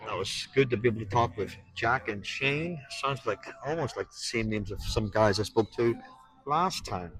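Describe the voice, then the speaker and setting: A man's voice: mid-range voice, fairly bright tone, rough recording. A man preaching to passers-by on a street, earnest and pressing them with questions. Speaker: street evangelist. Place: outdoors on a town street.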